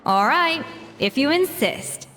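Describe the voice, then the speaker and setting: A woman's voice: high voice, expressive, slow, a bit bright, big-room sound, heavily acted. A woman voicing a proud, exacting young master chef in a video game, composed and self-assured. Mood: confident.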